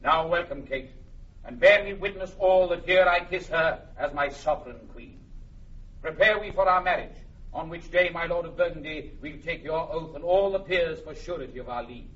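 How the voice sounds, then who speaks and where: A man's voice: fairly high pitch, normal speed, a bit bright, husky. An actor playing a bold, plain-spoken English warrior king who woos ardently, in classic Shakespearean radio drama style. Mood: triumphant. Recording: muffled old radio recording, faint noise.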